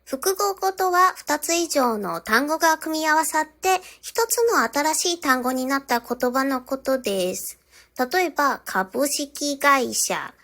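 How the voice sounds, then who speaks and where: High-pitched woman teaching a language lesson. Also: animated, measured pace, bright, forceful tone. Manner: instructional, polite, addressing viewers directly. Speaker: Japanese teacher. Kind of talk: scripted language lesson to camera.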